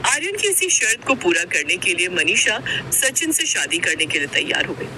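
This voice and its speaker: A woman's voice: on the low side, very animated, moderate pace, sharp tone, slight background noise, rough recording. A woman giving scripted narration as the storyteller of a Hindi cartoon tale.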